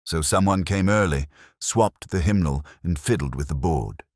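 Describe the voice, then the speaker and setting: A man's voice: low-pitched, expressive, moderate pace, no echo, studio quality. A man voicing an eager, earnest police sergeant who offers theories as the sidekick in a mystery story.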